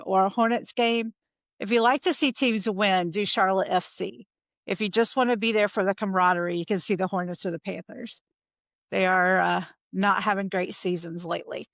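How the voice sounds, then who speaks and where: A woman's voice: mid-range voice, a bit bright, no room sound, highs cut off. A woman with a Southern US accent, a podcast guest chatting casually over a remote call, with lots of fillers. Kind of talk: casual interview talk.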